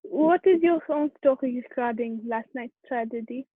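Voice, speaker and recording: A woman's voice: high-pitched, normal speed, breathy edge, no echo. A woman playing a formal, stern French prefect of police questioning witnesses in an amateur radio play. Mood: formal, probing. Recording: thin, narrow phone-like sound.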